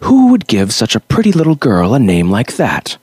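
A man voicing a gentle, formal male alien shapeshifter who speaks courteously and patiently. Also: medium pitch, wildly swooping pitch, slightly rough, dry recording, clean studio sound, voice pushed up, full character voice. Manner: measured, formal, courteous speech.

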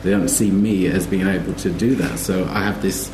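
A man on a live panel, talking conversationally in a somewhat halting way. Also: sits low, steady tone. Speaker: panellist, musician. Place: live festival panel in a roomy venue. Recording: echoey room with clear background noise.

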